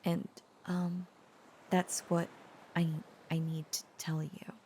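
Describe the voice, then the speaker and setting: Slow, hesitant, tender dragon girl voiced by a woman. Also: fairly low pitch, flat delivery, warm tone, a little hiss or hum. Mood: nervous, confessing. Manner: hesitant, halting, earnest, often dropping to breathy whispers. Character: tender, shy, protective dragon-descended woman confessing her love. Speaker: voice actor. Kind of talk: intimate romantic audio roleplay.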